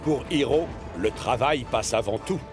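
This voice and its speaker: A man narrating a children's cartoon as an expressive storyteller. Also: medium pitch, measured pace, over music.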